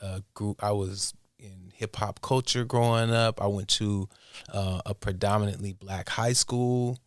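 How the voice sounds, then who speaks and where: A man from the United States with an American accent, talking casually and haltingly as an interview guest. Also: lowish voice, steady tone, no echo, clean studio sound. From Portland, Oregon, United States. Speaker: interview guest, music producer and inventor. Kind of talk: casual conversational storytelling.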